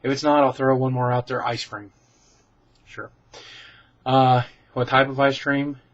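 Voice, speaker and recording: A man's voice: medium pitch, level tone, a little slow. Candid, rambling man on dull webcam audio. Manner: chatty, candid and self-deprecating, with many ums and restarts. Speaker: vlogger answering viewer questions. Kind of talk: casual rambling Q and A.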